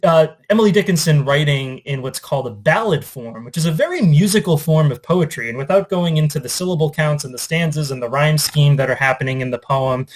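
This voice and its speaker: A man speaking as an English teacher, informally reading and discussing poetry in a reflective, conversational, somewhat halting way. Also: fairly high pitch. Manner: reflective, conversational, hesitant with trailing asides.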